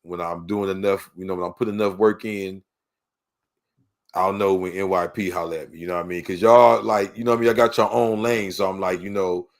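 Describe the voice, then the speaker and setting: A man's voice: low voice, steady tone, fairly quick. A man from Los Angeles with a local accent, talking in a casual, slangy way as a podcast guest telling his story. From Los Angeles, United States. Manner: slangy, peppered with "you know what I mean".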